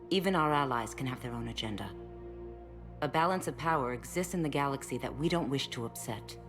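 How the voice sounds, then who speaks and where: A woman's voice: a bass voice, lively intonation, brisk. A woman voicing a dignified, guarded alien stateswoman in a video game, speaking in a formal, diplomatic way. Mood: grave, formal. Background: music.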